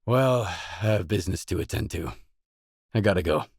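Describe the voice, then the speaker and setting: An actor voicing a gruff, guarded stalker mercenary in a video game, blunt, terse and no-nonsense. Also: male voice, low-pitched, animated, moderate pace, dry recording, clean studio sound, heavily acted. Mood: guarded, matter-of-fact. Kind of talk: video game dialogue lines.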